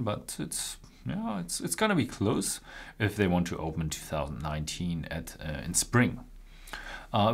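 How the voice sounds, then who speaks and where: A man in his thirties presenting news in an informal, somewhat halting way, with casual commentary. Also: lowish voice, slightly rough.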